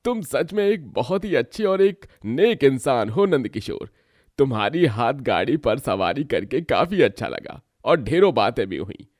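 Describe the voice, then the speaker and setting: A male actor voices a kindly, appreciative passenger in a cartoon tale. His mid-pitched voice swings widely in tone, and the recording is close and dry. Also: big pitch swings, measured pace, no room sound. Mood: friendly, thankful. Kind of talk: Hindi moral story cartoon dub.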